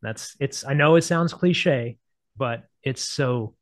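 A man's voice: mid-range voice, slow pace, dry recording. A man in his forties hosting a casual interview over a video call, who talks with enthusiastic, affirming energy. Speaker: interviewer host. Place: home office with bookshelves. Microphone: earphone mic on a video call. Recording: dry video call audio.

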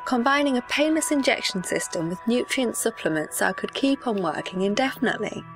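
A woman's voice: a little high, expressive, brisk, faint music behind. A woman playing a cheerfully callous corporate chief executive, speaking in a breezy, matter-of-fact way. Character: cheerfully callous female food-tube CEO. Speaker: guest. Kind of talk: comedic radio-show parody.

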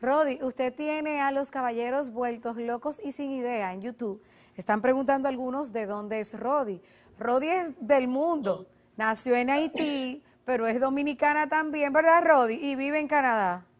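A young woman hosts a television interview from a studio, her voice pitched fairly high. She questions her guest in a lively, engaged way and reads out closing details clearly. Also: normal speed. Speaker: TV show host.

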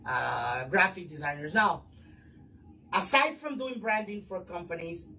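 A man's voice: high-pitched, lively intonation, a little slow, sharp tone. A man hosting a casual interview in a small office studio, enthusiastic and full of praise, with plenty of fillers. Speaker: talk show host. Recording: distant mic with faint background noise.

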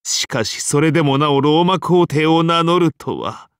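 A man's voice: a little high, moderate pace, smooth, no echo, clean studio sound, natural voice. An actor playing a stout, grandiose Roman ruler in a game, pompous and condescending in his phrasing. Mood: haughty, amused. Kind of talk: scripted game character dialogue.

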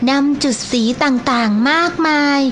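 A woman teacher narrating a children's storybook slowly and gently. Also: sits high, slight background noise. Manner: slow, gentle and clearly paced for children.

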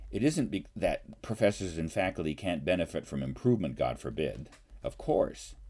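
Older man, very low, mellow voice. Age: 60s. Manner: deliberate, formal, measured phrasing. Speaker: college professor. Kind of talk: explanatory talk to camera. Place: small home study, indoors.

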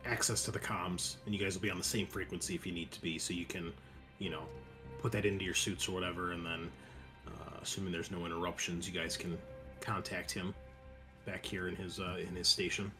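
A man's voice: low-pitched, little pitch movement, fairly quick, music in the background. A man acting as game master, casually narrating and explaining things to players.

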